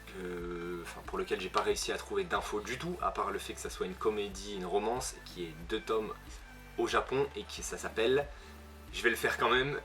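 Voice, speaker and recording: A man's voice: on the low side, normal speed, pushed and bright. A young man with a northern French accent reviewing manga casually, with many fillers and self-corrections. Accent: Northern French (ch'ti). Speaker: manga video host. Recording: music playing behind.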